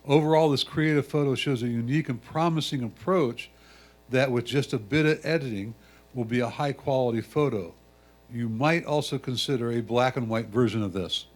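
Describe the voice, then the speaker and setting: A man reads written photo critiques aloud as a reader at a competition. His voice has a middle pitch for a man. He delivers someone else's prepared remarks. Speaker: reader of judges' comments.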